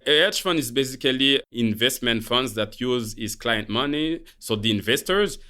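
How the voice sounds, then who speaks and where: A man from Haiti with a Haitian French accent, calmly explaining his career as a guest on a finance podcast. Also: mid-range voice, moderate pace. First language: Haitian Creole. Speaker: guest, portfolio manager. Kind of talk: podcast interview.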